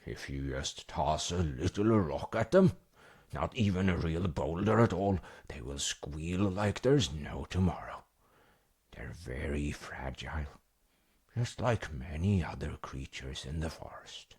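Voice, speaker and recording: A man voicing a big, gruff, fatherly mountain troll in a bedtime story, blustery and booming yet reassuring as he soothes a child. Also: lively intonation, a little slow, a little mellow, heavily acted. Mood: calm, reassuring.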